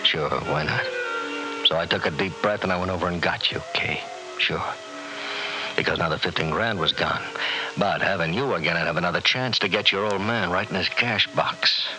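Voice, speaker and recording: Actor as a frantic, haunted low-voiced American schemer. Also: male voice, moderate pace, over music, natural voice, rough recording. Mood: bitter, scheming. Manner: obsessive, repetitive, agitated muttering and confessing. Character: frantic, guilt-ridden newlywed schemer, hard-boiled American man. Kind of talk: old radio horror drama acting.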